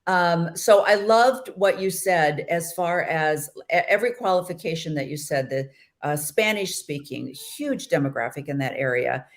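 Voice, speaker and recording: Middle-aged lady host on a video call, lowish voice, talks loose and easy. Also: animated, normal speed. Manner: chatty, laughs easily, rambling. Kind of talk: casual video-call interview.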